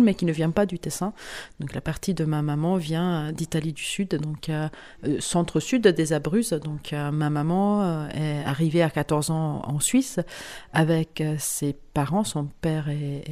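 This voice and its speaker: Swiss-woman, halting guest talk. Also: low voice, darkish tone. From Italian-speaking Switzerland. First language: Italian. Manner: halting, many fillers and restarts, laughs often. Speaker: guest lecturer. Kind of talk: hesitant conversational interview.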